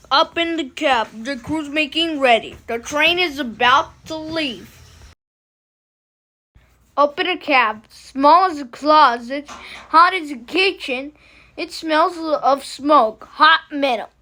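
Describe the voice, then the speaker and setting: A woman reads a picture book aloud in a high voice. Her pace is slow, with short, deliberate phrases set apart by pauses. The delivery is expressive and emphatic, as storybook reading. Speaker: storybook reader.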